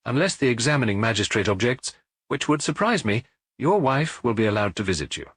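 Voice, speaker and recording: A man voicing a weary older police detective who questions calmly and firmly, with measured compassion. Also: mid-range voice, breathy edge, no room sound. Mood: firm, gentle.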